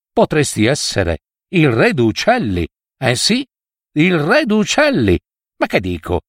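A man voicing a sly, flattering fox with a wheedling, drawn-out manner. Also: medium pitch, dry recording, studio quality. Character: sly flattering vixen, sweet-talking and mocking.

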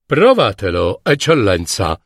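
A man's voice: fairly low pitch, wildly swooping pitch, measured pace, dry recording, clean studio sound, voice pushed down, full character voice. A man voicing a cautious, stubborn fairy-tale king, regal and hesitant, repeating himself. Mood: commanding. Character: cautious, stubborn old-fashioned king who prefers walking.

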